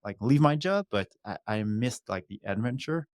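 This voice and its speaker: A man's voice: fairly low pitch, lively intonation, moderate pace, dry recording, studio quality. A man from Quebec, a native French speaker with a Quebec French accent, casually telling his own story as a podcast guest. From Quebec, Canada. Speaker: podcast guest, former downhill mountain bike racer.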